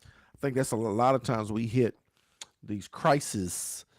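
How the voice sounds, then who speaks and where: A pastor who talks to viewers in a preachy, repeating way and asks "right?" again and again. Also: male voice, medium pitch, takes it slowly, no echo, studio quality. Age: 40s. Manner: dialect grammar, says "y'all". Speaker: pastor hosting a grief talk show. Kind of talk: live-stream talk answering viewer questions.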